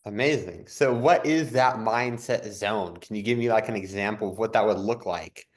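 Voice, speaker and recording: A man hosting a podcast interview, upbeat and quick to chime in with short affirmations. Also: fairly low pitch. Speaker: podcast host.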